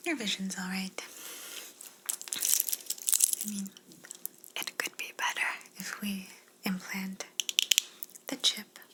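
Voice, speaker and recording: A woman playing a polite, curious alien examiner in an ASMR roleplay, speaking in a soft breathy whisper with a soothing, clinical manner. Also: mid-range voice, fairly even intonation, very noisy, natural voice. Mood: clinical, gentle. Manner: slow, soothing, clinical, courteous.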